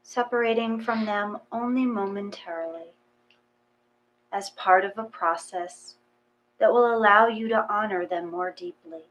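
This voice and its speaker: Slow-paced woman guiding a meditation. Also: medium pitch, dull audio, slight background noise. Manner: calm, measured, with long pauses between short phrases. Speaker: guided meditation reader. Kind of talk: gentle guided meditation, read from a text.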